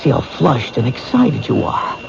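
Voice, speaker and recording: A man's voice: medium pitch, huge pitch swings, measured pace, creaky, heavily acted. An actor playing a shrewd male detective in a 1970s radio drama, pressing and goading a suspect. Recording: dull old radio broadcast with hiss.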